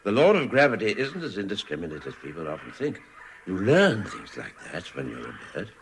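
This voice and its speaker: An actor playing a lofty, aggrieved bird elder in a radio comedy drama, with sighing, drawn-out theatrical delivery. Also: male voice, sits low, huge pitch swings, exaggerated voice. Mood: proud, mournful. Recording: noisy archive radio drama recording.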